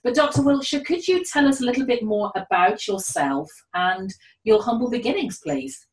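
A woman's voice: a little high, lively intonation, moderate pace. A woman hosting an interview in a courteous, affirming manner, often repeating her phrases as she talks. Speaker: interviewer, host. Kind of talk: conversational interview. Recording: echoey room, distant from mic.

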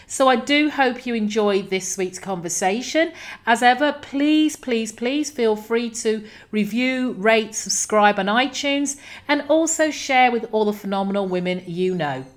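A woman podcast host reading a scripted introduction of her guest in a warm, promotional way. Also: on the high side, animated, unhurried.

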